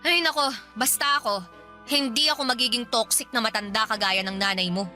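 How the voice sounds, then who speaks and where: A woman's voice: on the high side, a little fast. A woman playing a fed-up, sarcastic young wife and mother in a radio drama, complaining sharply. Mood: exasperated complaining. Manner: complaining, sharp-tongued, exasperated. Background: soft background music.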